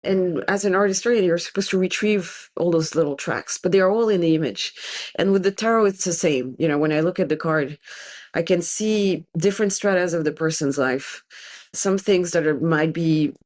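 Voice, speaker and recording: A woman with a French accent, a native French speaker, speaking as a guest in a casual interview and telling her story in a lively, animated way with frequent restarts. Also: on the low side, moderate pace. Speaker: guest, tarot reader.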